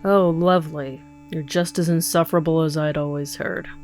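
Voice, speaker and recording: Woman acting low-voiced, hard-bitten sarcastic police detective. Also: fairly even intonation, normal speed, a little mellow, natural voice. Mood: sardonic. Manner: sardonic, blunt, confrontational. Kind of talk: dramatic audio drama acting. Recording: faint music behind the voice.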